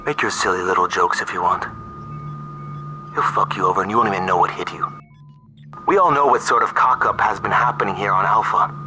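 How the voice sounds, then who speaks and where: A stern military commander for a video game cutscene. His voice sits a little low and cuts hard, very tense and forceful. He talks down to his listener with measured, self-righteous menace, with music behind him. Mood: hard, threatening.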